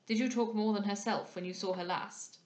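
A woman voicing a witty society gentleman in an arch, mock-grave, formal manner for a period audiobook. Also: medium pitch, steady tone, a little fast, roomy sound, lightly acted. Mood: teasing. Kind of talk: dramatised audiobook reading of a period novel.

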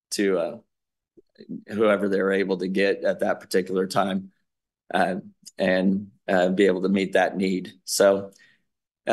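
A man reporting on an athletics contract at a school board meeting, speaking haltingly with many fillers. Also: on the low side.

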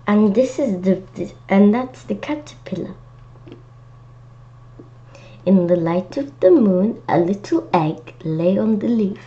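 Slow, bright-voiced woman reading a storybook. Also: medium pitch, lively intonation. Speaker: children's book reader. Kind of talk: read-aloud storybook with chatty asides. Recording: dull lo-fi home recording with noticeable noise.

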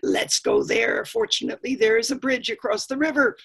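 A confident big billy goat from a storybook, voiced by a woman. He speaks with deliberate assurance and a bossy streak, his slightly husky voice moving up and down freely. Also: high voice, big pitch swings, a bit bright, pitched above the performer's own voice, heavily acted. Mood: eager. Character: biggest billy goat, bold, confident, bossy eldest brother.

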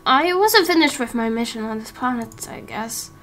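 A woman playing a hesitant, soft-spoken young royal in an amateur game roleplay. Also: a little high, lively intonation, moderate pace. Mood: hesitant, unsure.

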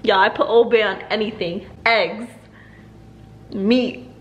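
A woman's voice: mid-range voice, steady tone, takes it slowly, highs cut off. Young woman cooking at home, chatty and slangy, says y'all a lot, camera on the counter. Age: young adult. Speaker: cooking vlogger. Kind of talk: casual cooking tutorial. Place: home kitchen, indoors. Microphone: camera on the counter and handheld.

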